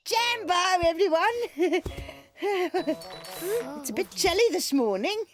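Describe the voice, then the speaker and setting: A woman's voice: very high voice, very animated, very slow, faint music behind, heavily acted. A woman voicing a cheerful, clumsy female ostrich in a children's cartoon, exclaiming in a flustered but upbeat way.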